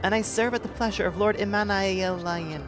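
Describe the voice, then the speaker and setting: A player puts on an overly formal servant voice and trips over the names. The pitch sits in a middle range. Game music plays clearly behind. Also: normal speed. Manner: exaggerated mock-formal, stumbling over names. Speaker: streamer in a game party. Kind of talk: voicing a polite servant with a fake accent.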